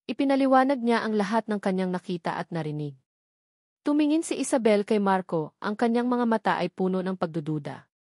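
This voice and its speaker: A woman's voice: medium pitch, expressive, a little fast, a little mellow, no room sound. Woman narrating a story, very dry sound. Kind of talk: scripted dramatic story narration, reading dialogue herself.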